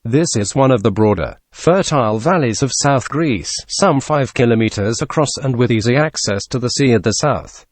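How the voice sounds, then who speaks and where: A man reading exam practice passages aloud in a measured, formal, scripted way. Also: mid-range voice, expressive, dry recording. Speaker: test-practice model reader.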